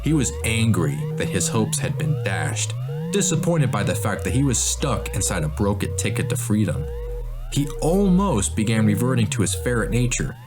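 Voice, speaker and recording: A man's voice: lowish voice, very animated, normal speed. A man narrating a scripted adventure story as an audiobook, in a storyteller's delivery. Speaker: author and narrator. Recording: music behind the voice.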